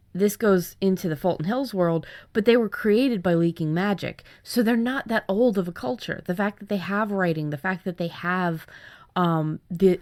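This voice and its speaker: Female co-host, somewhat low pitch, loose informal chat, thinks out loud. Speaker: writer and workshop co-host. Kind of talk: casual chat.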